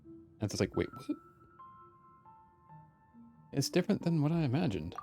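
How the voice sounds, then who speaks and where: A streamer giving casual, chatty live commentary on a game, reading along and reacting in an animated way. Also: medium pitch, moderate pace. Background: faint game music.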